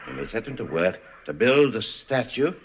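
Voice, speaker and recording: An actor playing a lofty, aggrieved bird elder in a radio comedy drama, with sighing, drawn-out theatrical delivery. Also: male voice, fairly low pitch, very animated. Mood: proud, mournful. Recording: noisy archive radio drama recording.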